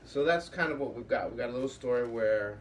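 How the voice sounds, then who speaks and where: A man's voice: mid-range voice, fast talker. A man hosting a workshop in a casual, improvised way, rambling as he thinks aloud. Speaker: puppetry workshop host. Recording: distant mic with faint room noise.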